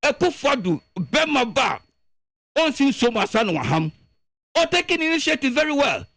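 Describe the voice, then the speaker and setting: A man with a Ghanaian accent giving animated political commentary on the radio, emphatic and full of repeated words. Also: extremely high pitch, normal speed, no echo.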